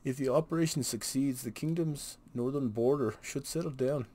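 A man reading as an earnest, loyal soldier in a fantasy game, measured and declaiming. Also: mid-range voice, mellow, lightly acted. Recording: noisy home recording.

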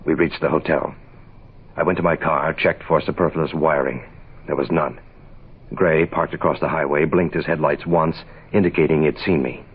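An actor playing a terse private investigator, clipped and deadpan, in the style of 1960s radio noir. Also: male voice, very deep voice, moderate pace, husky. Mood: tense, deadpan. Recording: hissy, phone-like vintage radio recording.

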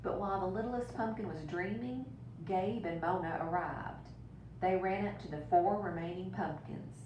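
A woman with a fairly low voice reads to young children like a teacher at story time. She is expressive and deliberate. The room echoes around her, and a clear rumble sits behind the voice. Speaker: teacher reading aloud to children. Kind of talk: storybook read-aloud with brief asides. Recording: roomy, distant mic with rumbling noise.

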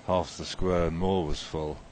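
A man hosting a casual podcast, talking in a relaxed, rambling way with many fillers, recorded outdoors on a handheld recorder. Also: sits low, unhurried, slight background noise. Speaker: podcast host. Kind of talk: casual chat. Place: outdoors at a festival.

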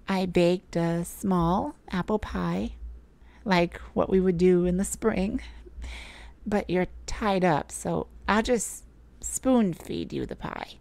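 A woman playing a tender, heartbroken but resolute mage in an emotional audio roleplay, speaking intimately and haltingly with a pleading manner. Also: fairly low pitch, creaky, no room sound, exaggerated voice. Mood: tender, wistful.

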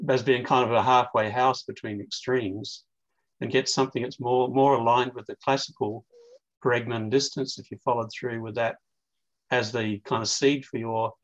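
An older man chairing an academic session by video call, putting questions in a halting, circling way. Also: medium pitch. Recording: dull, lo-fi video call audio.

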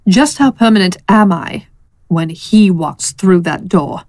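A woman playing a sardonic, seductive and protective secret lover in an audio drama, speaking in a teasing, pointed, deliberate way. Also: lowish voice, expressive, a little mellow, no echo. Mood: wounded, pointed.

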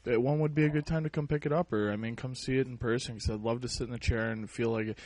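A man with a mid-pitched voice talks casually on a phone call. He sounds hesitant, pausing to think and dropping in frequent short uh-huhs. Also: fairly even intonation, darkish tone. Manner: hesitant, keeps interjecting uh-huh. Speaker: prank caller. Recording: phone prank call recording.

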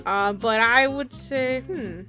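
A woman voicing a bossy, excitable, scatterbrained young wizard student in an improvised game roleplay. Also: a little high, slow pace, faint music behind. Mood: thinking aloud.

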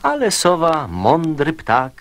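A man's voice: fairly high pitch, takes it slowly, slight background noise. A man narrating children's verse expressively for a radio play. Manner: expressive storytelling. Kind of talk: dramatic recitation of children's verse.

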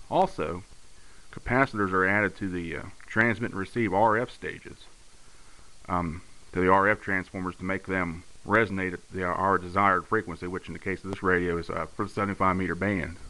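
A man's voice: low voice, sharp tone. A man giving a casual, hands-on technical walkthrough as a hobbyist, explaining things in a matter-of-fact way. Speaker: radio hobbyist demonstrating his conversion work. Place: indoor room at a home radio bench. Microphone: handheld camera's built-in mic. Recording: noisy amateur handheld camera audio. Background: clear background noise.

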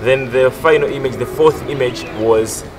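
A man's voice: medium pitch, moderate pace. A man in his twenties, a theatre performer, recounting his experience in a documentary interview. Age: 20s. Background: music.

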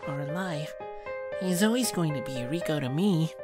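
A woman's voice: low voice, lively intonation, moderate pace. A woman voicing a stubborn, wounded little boy in an amateur anime audio drama, insistent and emotional. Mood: earnest. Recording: music behind the voice.

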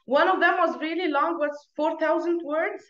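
A woman's voice: sits high, fairly even intonation, moderate pace, a bit bright, roomy sound, lo-fi sound. A young woman from Egypt, a native Arabic speaker, chatting in a video-call interview, laughing often and restarting her sentences. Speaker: guest. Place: small room at home. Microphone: earphone mic on video call.

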